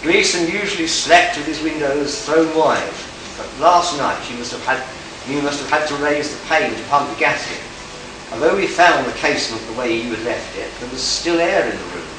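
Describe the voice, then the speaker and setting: A man's voice: on the high side, expressive, normal speed, lightly acted. A man acting a smug, hard-boiled space detective in an amateur live radio play, declaiming and stumbling over the script. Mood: accusing, confident. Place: live convention stage, indoors. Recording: distant, echoey, noisy hall recording.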